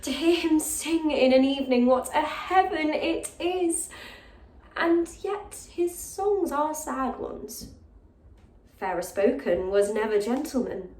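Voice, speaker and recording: A woman's voice: high voice, expressive, slow pace, darkish tone, voice pushed up. A lovestruck young maid from classical theatre, acted by a woman, speaks in a raised, emotional voice full of exclamation. She is breathless and impulsive, in heightened Shakespearean verse style. The room around her is echoey. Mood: smitten, yearning. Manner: emotional, exclaiming, with gasps and sighs. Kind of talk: classical stage monologue, Shakespearean acting.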